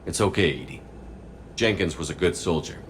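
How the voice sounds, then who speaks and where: A man's voice: low-pitched, expressive, normal speed, slight background noise. A man acting a steadfast military commander in a video game, firm and restrained. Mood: resolute.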